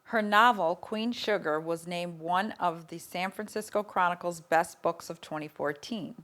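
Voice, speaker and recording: A woman's voice: lowish voice, fairly bright tone. A woman reading a formal prepared introduction at a live event. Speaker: event presenter.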